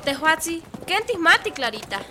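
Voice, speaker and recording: A pregnant woman from a village in a radio drama. Her voice is high and piercingly bright. She sounds worried and keeps asking questions. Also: very animated, moderate pace, slight background noise, full character voice. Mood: worried, agitated. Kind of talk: radio soap opera acting.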